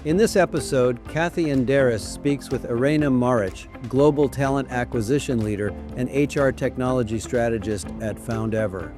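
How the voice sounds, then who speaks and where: A man's voice: mid-range voice, moderate pace, hardly any pauses. Male announcer reading over music. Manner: polished announcer delivery. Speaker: podcast announcer. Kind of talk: scripted intro narration. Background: music bed.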